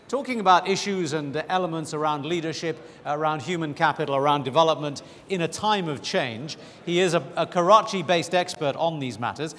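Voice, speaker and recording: Emcee: man, upbeat stage introduction, slightly raised pitch, reverberant venue. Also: fairly bright tone. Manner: upbeat, addresses crowd as folks. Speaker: event emcee. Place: large hotel conference hall. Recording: hall reverb.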